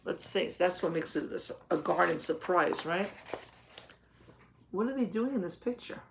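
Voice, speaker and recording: Lady teacher reading a kids' picture book to the webcam, gentle, asks lots of questions, small home office sound. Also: fairly low pitch, animated, normal speed, creaky, a little hiss or hum. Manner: gentle, questioning, explains words simply. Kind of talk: reading a picture book aloud to young children.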